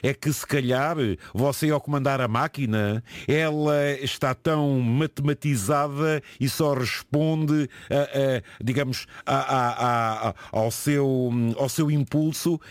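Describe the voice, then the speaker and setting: A man's voice: medium pitch, slow, hardly any pauses, dry recording. A man hosting a radio show, chatty and teasing, with many fillers. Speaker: radio host. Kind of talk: casual radio chat.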